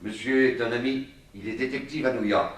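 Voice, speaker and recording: A man's voice: medium pitch, fairly quick, slight background noise, lightly acted. A man playing a genial, resigned Southern sheriff in a 1960s French radio drama, affable and courteous. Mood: grave.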